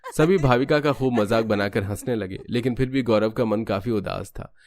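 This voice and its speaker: A man's voice: mid-range voice, normal speed, darkish tone. A man giving scripted storytelling narration for a cartoon.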